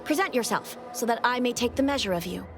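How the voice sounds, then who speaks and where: A woman's voice: fairly high pitch, expressive, fast, natural voice. A woman playing an imperious, pompous official in a video game, with commanding, ornate, formal diction. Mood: haughty, commanding. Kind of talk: theatrical video game acting.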